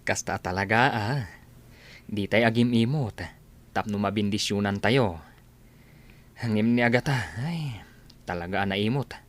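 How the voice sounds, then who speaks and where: A man's voice: fairly low pitch, measured pace, pauses often, close to an everyday voice. A man playing an easygoing, generous village husband who lends money, plain-spoken in an Ilocano radio comedy drama. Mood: encouraging.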